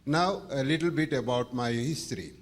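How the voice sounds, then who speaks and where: A man from India, a shareholder asking a question from the floor in a formal, elaborate and slightly halting way. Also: mid-range voice. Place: large meeting hall.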